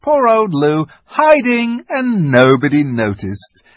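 A man voicing a bossy older brother who teases loudly and jovially. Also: a little high, huge pitch swings, slow pace, no room sound, lo-fi sound, pitched above the performer's own voice, full character voice. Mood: teasing. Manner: loud, jolly teasing.

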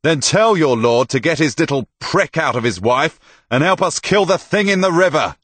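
A man voicing a hot-tempered, foul-mouthed medieval fighting man in an audiobook, blunt, loud and defiant. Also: fairly high pitch, animated, moderate pace, breathy edge, dry recording, voice pushed up. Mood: angry.

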